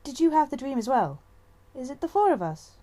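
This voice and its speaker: A woman's voice: high voice, very animated, a little fast, warm tone, voice pushed up. A woman voicing an anxious, earnest young female cat in an audiobook, uncertain and flustered. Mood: excited.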